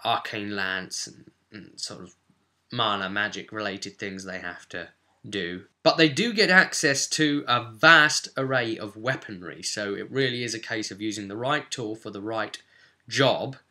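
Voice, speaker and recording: Bright, forceful man explaining game rules. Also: medium pitch. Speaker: presenter of a tabletop roleplaying guide. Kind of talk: explanatory game guide commentary.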